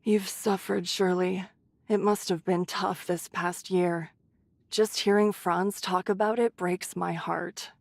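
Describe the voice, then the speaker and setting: A woman voicing a caring, brisk shelter leader who scolds in a motherly, teasing way, in an English anime game. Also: on the low side, steady tone, creaky, no echo, full character voice. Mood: distressed.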